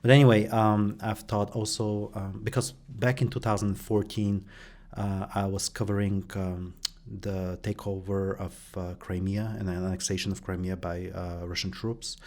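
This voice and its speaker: Interview guest: middle-aged man, very deep voice, halting and thoughtful, lots of fillers. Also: little pitch movement, a little mellow. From Bulgaria. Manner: hesitant, many fillers and restarts. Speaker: guest, journalist and professor. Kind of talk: conversational interview answers.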